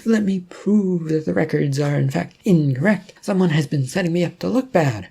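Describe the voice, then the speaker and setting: A man voicing a timid, panicking male accountant in a story, stammering and pleading in a squeaky way. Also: normal speed, creaky, mellow, slightly rough. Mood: pleading hope.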